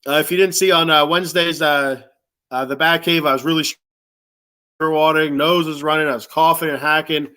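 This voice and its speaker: A man's voice: fairly high pitch, steady tone, brisk, sharp tone. A middle-aged man with a stuffed-up nose chats informally and ramblingly as host of a casual sports fan livestream. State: stuffed up with a cold. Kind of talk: casual livestream chat, greeting commenters.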